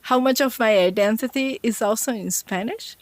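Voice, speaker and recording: A woman speaks as a podcast guest, her voice sitting a touch high. She talks in a reflective, personal way, often voicing her own past thoughts aloud. The sound is dry and close, with no room echo. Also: normal speed. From Brazil. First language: Portuguese. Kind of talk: reflective conversational storytelling.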